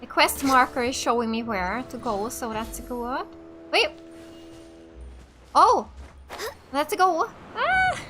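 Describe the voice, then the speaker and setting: A woman giving casual, expressive, exclamatory let's play commentary. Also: high voice, takes it slowly, pauses often. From Finland. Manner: expressive, exclamatory, reading aloud and reacting. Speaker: gaming streamer. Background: game music.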